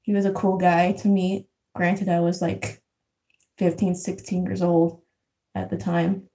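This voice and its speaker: A young woman in her twenties, originally from San Diego, talks through a relaxed vlog, reading viewer comments and chatting. Her voice sits fairly low with a warm, mellow tone and a strong gravelly fry. She laughs often and leans on plenty of "um"s and "like"s. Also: a little slow. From San Diego, California. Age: 20s. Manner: chatty, frequent laughs and fillers. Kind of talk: casual live chat reading comments. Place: house in Arizona.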